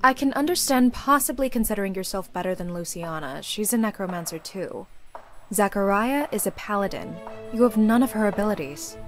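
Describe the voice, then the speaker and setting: A woman's voice: medium pitch, expressive, brisk, soft background music, natural voice. A woman playing a blunt, questioning young necromancer with a dry, direct manner in a visual novel. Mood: challenging. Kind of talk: visual novel character acting.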